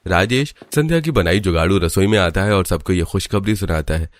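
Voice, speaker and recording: A man's voice: deep voice, lively intonation, brisk, dry recording. A man narrating a scripted family story as its storyteller.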